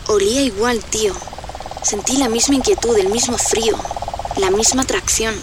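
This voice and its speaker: A woman's voice: on the high side, moderate pace, close to an everyday voice. A woman acting a sensual, wonder-struck lover in a radio drama, speaking with intensity. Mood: sensual, dreamy. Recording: noisy outdoor soundscape, recorded remotely.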